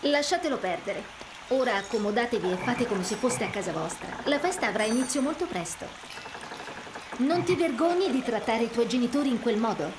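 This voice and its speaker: An actress playing a sweet, hopeful, gracious young woman in an animated video game. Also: a little high, brisk, noisy background, natural voice. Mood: gentle chiding.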